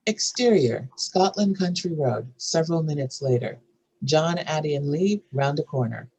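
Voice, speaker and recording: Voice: mid-range voice, expressive, normal speed, mellow, husky, rough recording. A narrator reading scene headings and stage directions from a screenplay in a scripted style. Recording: faint background noise and room ambience.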